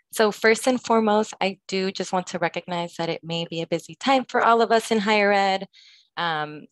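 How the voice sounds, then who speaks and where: A woman's voice: lowish voice, no echo, low-quality recording. A woman giving an informal presentation at an online conference session, speaking somewhat hesitantly with frequent fillers. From Los Angeles, California. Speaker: presenter, student organization advisor.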